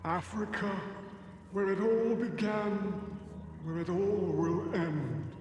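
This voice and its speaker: A man playing a formless, sinister cartoon villain, intoning ominously with drawn-out words through heavy reverb. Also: sits high, darkish tone, a little hiss or hum. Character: formless, sinister dead husband, ghostly slow-speaking villain. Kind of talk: animated villain acting. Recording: echoing, long reverberant tail.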